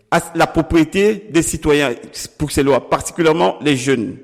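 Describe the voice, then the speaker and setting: Measured middle-aged Cameroonian-born man. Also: fairly high pitch, in a large hall. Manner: deliberate, formal, with pauses and some restarts. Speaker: political candidate. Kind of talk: measured campaign interview answers.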